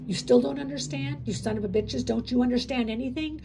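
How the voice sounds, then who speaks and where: A woman voicing a furious, bitter male prisoner who snarls and shouts. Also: on the high side, brisk, noisy background, voice pushed up, lightly acted.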